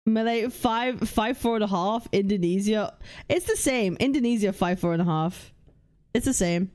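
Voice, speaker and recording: A young woman hosting a casual livestream, reading chat and lists aloud, blunt and swearing freely as she thinks out loud. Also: mid-range voice, normal speed. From United Kingdom. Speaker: livestream host.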